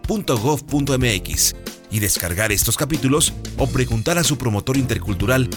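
A man's voice: medium pitch, expressive, normal speed, music in the background. A man with a Mexican accent presenting a radio series in scripted narration.

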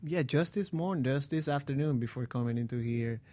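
Man, middle-pitched voice, talk-radio co-host, halting delivery with lots of "um" and restarts. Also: warm tone. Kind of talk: motivational talk show chat.

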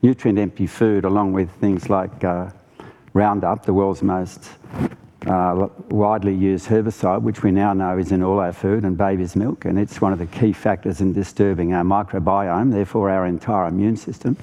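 A man's voice: sits low, steady tone, normal speed, dark tone. An Australian man speaking earnestly and emphatically as a panellist in a live discussion. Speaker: farmer and ecologist, festival panellist.

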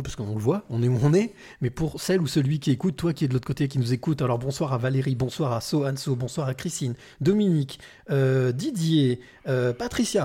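A man hosting a podcast interview in a large room in a home, speaking warmly and stopping to search for words. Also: mid-range voice, slightly rough. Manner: warm, searching for words with repeated restarts. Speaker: podcast host.

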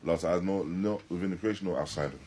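A man teaching in a religious lecture, deliberate and emphatic, repeating and rephrasing his phrases as he explains a text. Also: sits low, dark tone, husky. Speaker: religious teacher giving a lesson. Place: Islamic centre, live stream.

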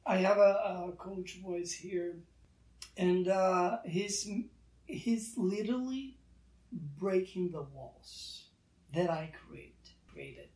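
Slow, halting man in a roomy space. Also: high-pitched, darkish tone, far from the mic. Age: 30s. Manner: halting, many restarts, earnest. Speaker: guest artist. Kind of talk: reflective interview talk.

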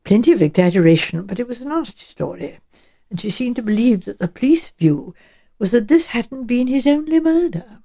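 A woman's voice: fairly high pitch, expressive, normal speed, dark tone. A woman voicing a shrewd, genteel elderly English village spinster, musing and politely chatty, in an audiobook. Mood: grave, thoughtful.

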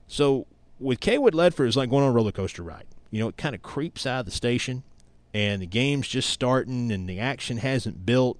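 A man's voice: mid-range voice, dry recording. American man reminiscing, close dry sound. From East Tennessee, United States. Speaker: radio play-by-play sports broadcaster, interview guest. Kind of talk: conversational interview, reminiscing.

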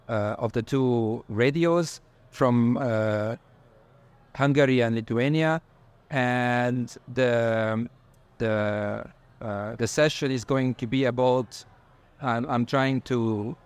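A man moderating a live radio panel discussion, pausing often with fillers and restarting his sentences. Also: mid-range voice, clean tone. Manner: halting, many fillers and restarts.

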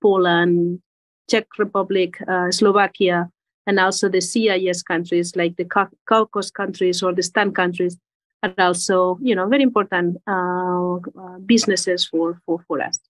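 A woman's voice: lowish voice, steady tone, normal speed. A woman in her forties from Spain with a Spanish accent, answering interview questions as a company executive, carefully repeating words as she searches for phrasing. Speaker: corporate executive guest.

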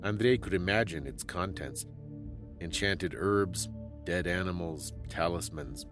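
A man giving a dramatic scripted story reading as a narrator, solemn and suspenseful, with deliberate phrasing. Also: sits low, over music. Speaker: storyteller and podcast host.

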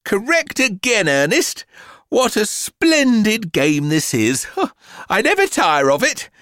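A man's voice: mid-range voice, huge pitch swings, takes it slowly, fairly bright tone, no room sound, studio quality, pitched above the performer's own voice, full character voice. A man voicing a jolly but long-suffering mother in a storybook, coaxing and effusive. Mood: forced enthusiasm.

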